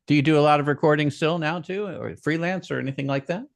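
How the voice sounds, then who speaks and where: A man's voice: a little high, fast, vocal fry, no echo. A man hosting a podcast interview, asking questions in a conversational, encouraging way. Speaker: podcast host.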